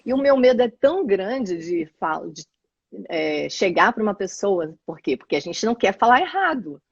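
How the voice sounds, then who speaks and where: A Brazilian woman hosting a live chat, telling a story in a halting, restarting way. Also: medium pitch, sing-song, slightly rough, no room sound, lo-fi sound. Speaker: host.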